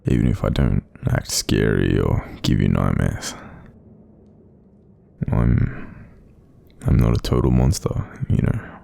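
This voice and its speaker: An Australian man voicing a kindly, apologetic sleep paralysis demon in an intimate audio roleplay, soft-spoken, hesitant and breathy, gently reassuring the listener. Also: slow pace, pauses often, heavily acted. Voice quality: very deep, breathy, creaky.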